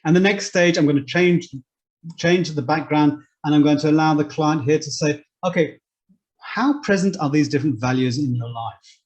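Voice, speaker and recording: A man's voice: fairly high pitch, normal speed, a little mellow. A man giving an informal, step-by-step screen-share demonstration as a presenter, explaining with stressed key words. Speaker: presenter demonstrating online therapy card software. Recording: dull, lo-fi microphone sound.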